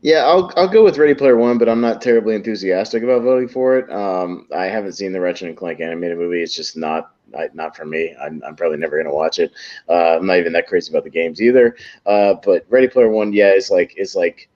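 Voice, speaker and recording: A man's voice: low-pitched, fast talker. Offhand man on a dull microphone. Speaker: panelist. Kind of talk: casual movie chat.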